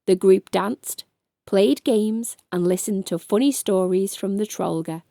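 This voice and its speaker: A woman's voice: medium pitch, unhurried, vocal fry, dark tone, dry recording, clean studio sound. A woman storyteller reading a children's bedtime story aloud.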